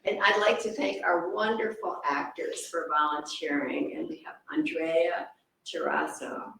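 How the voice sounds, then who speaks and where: A woman's voice: low-pitched, fairly even intonation, unhurried, vocal fry, a bit bright. A woman hosting an event, reading out an introduction of the speakers with informal asides. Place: reverberant indoor event room. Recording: echoey room, distant mic, faint noise.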